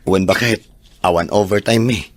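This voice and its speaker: A man acting an evasive, easygoing unfaithful husband in a radio drama, with a husky, drawling voice and an Ilocano accent. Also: sits low, steady tone, moderate pace, no echo. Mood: amused, casual.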